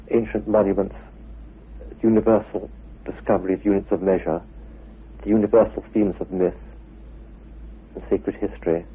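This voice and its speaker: A man, a scholar talking thoughtfully and haltingly in an interview. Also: lowish voice, breathy edge. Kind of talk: unscripted interview talk. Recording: muffled phone-like interview recording.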